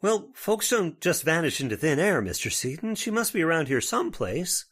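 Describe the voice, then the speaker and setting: An actor playing a skeptical, folksy small-town sheriff in an old-time radio horror play, plain-spoken and authoritative. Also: male voice, on the high side, big pitch swings, normal speed, fairly bright tone, heavily acted.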